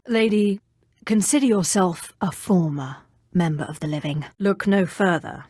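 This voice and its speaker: Haughty, menacing Sith sorceress, dry recording. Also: female voice, lowish voice, a little slow, dark tone, dry recording. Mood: cold menace. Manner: imperious, dryly sarcastic, crisply controlled delivery. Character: haughty, menacing young female Sith sorceress, sardonic and cold. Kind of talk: scripted video game character dialogue.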